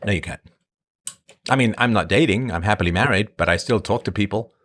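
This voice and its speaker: Rambling middle-aged man, lowish voice. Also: fairly quick, fairly bright tone. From born in Ireland, grew up in England. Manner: rambling, conversational, emphatic swings in pitch. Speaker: podcast host answering live chat. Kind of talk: casual monologue.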